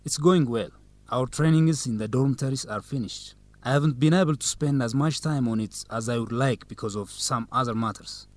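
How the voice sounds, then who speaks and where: A man's voice: mid-range voice, brisk, no echo, lightly acted. A man playing an earnest, courteous young student leader in an English-learning radio drama. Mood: polite, curious. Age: young adult.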